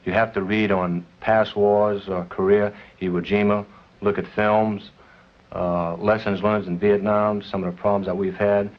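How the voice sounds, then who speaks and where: Deep-voiced middle-aged American officer, dull sound. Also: male voice, little pitch movement, a little slow. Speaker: military officer. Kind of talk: instructional talk to camera. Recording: dull old film soundtrack with music.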